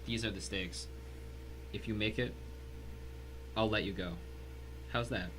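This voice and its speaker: Man playing a grim avenger, low, hard-edged voice. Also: measured pace, rough recording. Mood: cold, vengeful. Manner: cold, quiet, flat delivery. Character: grim, vengeful man holding his tormentor at gunpoint. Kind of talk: dramatic audio story acting.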